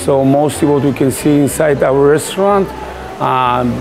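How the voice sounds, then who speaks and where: A middle-aged man from Poland with a Polish accent, a restaurant manager answering interview questions in halting English, with fillers and easy laughs, inside a large restaurant. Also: medium pitch, over music. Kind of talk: on-camera interview. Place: large log-cabin style restaurant, indoors.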